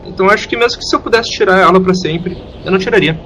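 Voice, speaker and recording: Man acting out a high-pitched, curious orphan boy. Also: racing, sharp tone, slight background noise, low-quality recording. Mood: earnest. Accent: Brazilian. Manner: frank, inquisitive. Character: curious, earnest young orphan boy from a small town. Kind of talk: audio drama acting.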